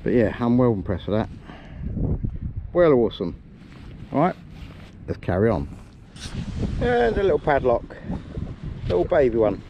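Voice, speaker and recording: A man's voice: medium pitch, big pitch swings, unhurried, long pauses, lo-fi sound. A middle-aged man chatting casually and informally, vlogging outdoors in an open field. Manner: informal, colloquial, matey. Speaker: metal detecting vlogger. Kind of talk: casual vlog chat and commentary. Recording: windy outdoor noise on action camera.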